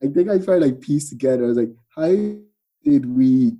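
A man's voice: mid-range voice, normal speed, warm tone, dull audio. A man with an Irish accent, chatting casually as a podcast guest and retelling events with quoted bits of dialogue. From Ireland. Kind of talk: casual chat, storytelling.

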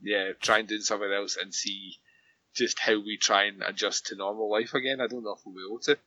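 A slightly hoarse man talks over a home microphone in loose, rambling podcast chat, often trailing off into a soft laugh. The sound is dry and a little dull, as if lo-fi. He speaks at an ordinary middle pitch. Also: steady tone, fast, no room sound. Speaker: podcast co-host. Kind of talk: casual chat about TV. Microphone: better home microphone.

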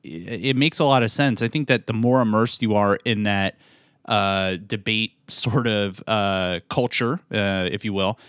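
Male podcast host, halting questions, lowish voice, dry close mic. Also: smooth, dry recording. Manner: warm interviewer, halting, repeats words. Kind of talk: interview questions.

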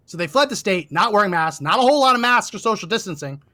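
A man co-hosting a political commentary show, animated and laughing often, saying he is losing his voice. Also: high-pitched, quick pace. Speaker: co-host.

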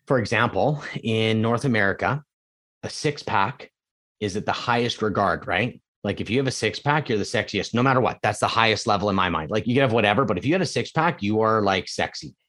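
A middle-aged man from Canada with a fairly low voice, talking as a guest on a podcast. He is emphatic and asks a lot of rhetorical questions. His tone swings between loud excitement and quiet reflection. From Canada (Edmonton). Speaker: real estate coach, guest. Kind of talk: casual podcast interview.

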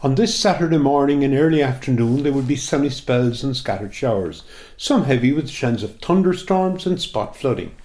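A man's voice: mid-range voice, expressive, normal speed, darkish tone. An Irish man reading a scripted weather forecast for community radio. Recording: roomy, echoing sound.

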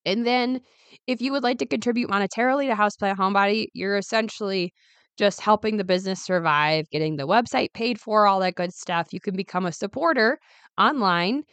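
A woman in her twenties hosting her own podcast in a casual, chatty way, her voice still raspy from being unwell. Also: medium pitch, a little fast, fairly bright tone, no echo. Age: 20s. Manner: chatty, upbeat, many ums and asides. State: raspy, recovering voice. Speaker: podcast host. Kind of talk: casual solo podcast chat.

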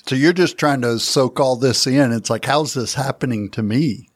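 A man's voice: mid-range voice, moderate pace, husky, no echo. A man co-hosting a podcast interview, affirming the guest and asking follow-up questions. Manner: affirming, asks follow-up questions, frequent "wow". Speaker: podcast co-host.

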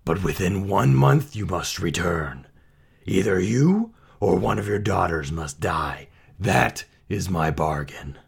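A very low, growling storybook beast, acted out by a male narrator, imperious and menacing. It draws its words out slowly and gives orders in a snarl. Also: expressive, gravelly, slightly rough, full character voice. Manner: slow, drawn-out, commanding.

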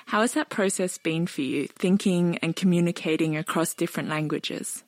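A woman's voice: fairly low pitch, normal speed, no room sound. A woman hosting an interview podcast in an articulate, thoughtful, reflective way. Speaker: podcast host.

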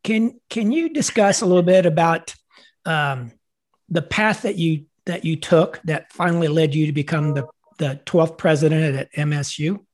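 Male interview host, somewhat high voice, friendly and halting. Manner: friendly, stumbles and repeats words. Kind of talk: casual interview talk.

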